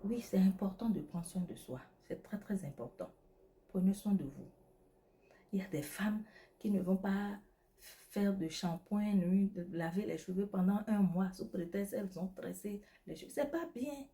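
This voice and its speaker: A woman's voice: medium pitch, normal speed, warm tone. A woman whose first language is not French, giving emphatic, preachy beauty and self-care advice straight to her viewers. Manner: preachy, emphatic, addresses viewer as tu, repeats key points. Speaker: beauty advice vlogger selling skincare products. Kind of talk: direct-to-camera advice talk.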